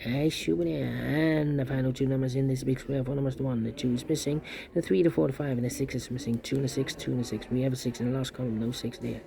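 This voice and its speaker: A man's voice: medium pitch, steady tone, quick pace, creaky, a crowd in the background. A man giving a step-by-step puzzle tutorial, thinking aloud and often repeating short phrases as he mutters to himself. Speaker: puzzle instructor.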